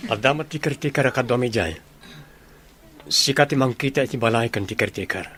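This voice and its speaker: A man's voice: medium pitch, brisk, fairly bright tone, a little hiss or hum, lightly acted. Earnest young suitor played by a man, Ilocano-accented. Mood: earnest, persuading. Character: earnest adult son and suitor about to leave. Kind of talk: radio drama acting.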